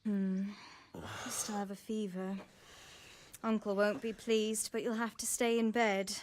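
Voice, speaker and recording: A woman voicing a caring young village woman who nurses a sick man, gentle and soothing. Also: medium pitch, level tone, measured pace, slight background noise, lightly acted. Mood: tender. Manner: gentle, soothing, then scolding. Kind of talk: video game cutscene acting.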